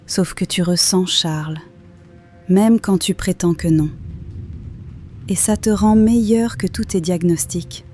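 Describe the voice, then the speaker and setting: Woman playing a calm wise confidant, mellow dark voice, gently asking the hard stuff. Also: on the low side, slow, long pauses, faint music behind. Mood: gentle. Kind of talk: scripted audio drama.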